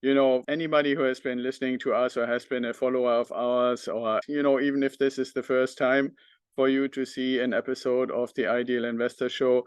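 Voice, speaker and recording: A man's voice: medium pitch, level tone, a little fast, clear, resonant tone, no echo. A middle-aged man from northern Germany with a German accent, talking unscripted to his audience as a podcast host.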